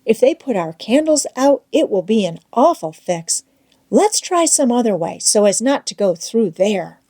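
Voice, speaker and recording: A woman's voice: a little high, big pitch swings, a little slow, mellow, full character voice. A woman voicing an adventurous young boy in a storybook, reassuring but uneasy, with folksy wording. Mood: uneasy reckoning. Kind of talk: children's novel read aloud.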